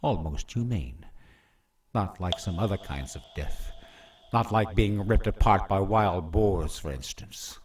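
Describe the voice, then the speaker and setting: A man playing a cruel, scheming prince in a fantasy radio play, with a mocking, theatrically menacing and drawn-out delivery. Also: deep voice, darkish tone, recorded from a distance, slight background noise. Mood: sadistic relish. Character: cruel scheming prince, gloating usurper brother.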